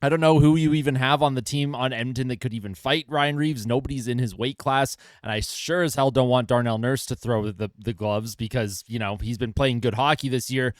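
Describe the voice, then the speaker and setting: A man's voice: mid-range voice, fairly quick, few pauses, dry recording, studio quality. A young man hosting a casual hockey pre-game commentary in a chatty way, with lots of filler words, while he keeps losing his voice. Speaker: hockey channel host.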